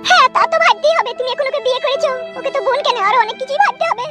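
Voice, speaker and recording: Squeaky, rapid-fire scolding cartoon wife. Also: female voice, loud music behind the voice. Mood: indignant. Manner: scolding, sarcastic. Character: shrill, sarcastic, foul-mouthed scolding village wife. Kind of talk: comic cartoon dialogue.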